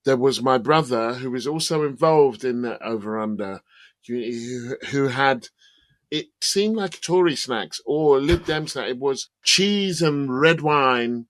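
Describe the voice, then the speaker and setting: A man's voice: medium pitch, animated, takes it slowly, a bit bright, no echo. Dry-humored man, close and dry mic. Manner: storytelling, self-mocking, dry humor. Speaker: podcast co-host. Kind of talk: casual chat.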